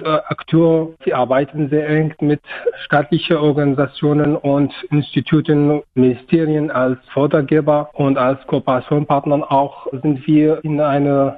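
A man's voice: on the high side, flat delivery, normal speed. A Kurdish native speaker from Syria, a man with a Syrian accent, explains his work as an interview guest in an earnest, searching way. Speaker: interview guest, counsellor at a migrant organisation. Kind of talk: explanatory radio interview answers. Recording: narrow phone-like sound.

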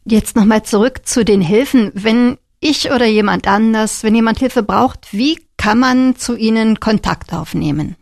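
A woman's voice: fairly high pitch, measured pace, gravelly, hardly any pauses, darkish tone, no echo, clean studio sound. A woman working as a radio interviewer, putting prepared questions to a guest.

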